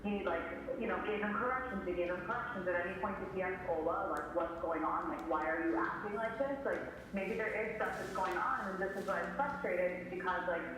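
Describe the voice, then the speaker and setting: A woman's voice: on the low side, brisk, hardly any pauses. A woman speaking as a parent on a school call, challenging and insistent, leaning often on filler words. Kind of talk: training role-play of a parent phone call. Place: large echoey room, far from mic. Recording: very echoey hall, distant mic, faint noise.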